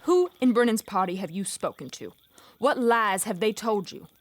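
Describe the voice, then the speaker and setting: A woman's voice: mid-range voice, expressive, normal speed, a little hiss or hum, natural voice. A woman playing a stern, menacing military commander in a fantasy audio drama, clipped and formal. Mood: impatient, commanding.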